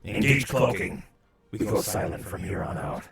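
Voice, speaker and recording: A man voicing a gruff, terse, commanding armored warrior in a comic reading, with a gravelly voice. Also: deep voice, animated, moderate pace, a crowd in the background, exaggerated voice. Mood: stern, curt. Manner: curt, commanding. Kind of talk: comic book voice acting. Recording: background music under the voice.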